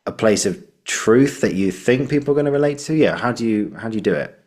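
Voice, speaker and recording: Male podcast host, low-pitched, conversational, halting and roundabout in phrasing. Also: lively intonation, darkish tone. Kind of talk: casual interview conversation.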